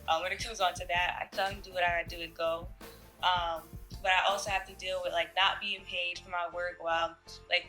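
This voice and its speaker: Young female model for interview answers; bright, casual delivery over faint music. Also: medium pitch, low-quality recording. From Jamaica. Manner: relaxed, with fillers and soft laughs. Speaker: fashion model.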